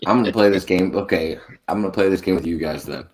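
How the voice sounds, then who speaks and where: A man's voice: low voice, fast, darkish tone. An American man co-hosting a podcast, chatting casually and rambling, often laughing. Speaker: podcast co-host. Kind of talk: casual chat.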